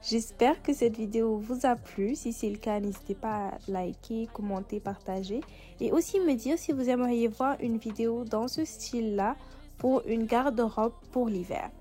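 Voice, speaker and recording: Woman with a cold, mellow voice-over. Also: on the high side, normal speed, soft background music. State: has a head cold. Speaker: fashion vlogger.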